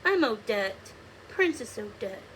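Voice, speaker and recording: Young storybook princess, voiced by a woman reader: gentle, earnest, high and bright. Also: big pitch swings, takes it slowly, a little hiss or hum, heavily acted. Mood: friendly, earnest.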